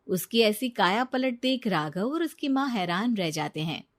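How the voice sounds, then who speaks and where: A woman's voice: medium pitch, lively intonation, normal speed. A woman narrating a scripted moral story.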